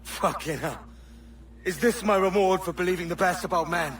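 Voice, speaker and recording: An actor playing a brash, defiant, ambitious pirate captain in a dramatic video game cutscene. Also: male voice, sits high, moderate pace, slight background noise, lightly acted. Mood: bitter, scornful.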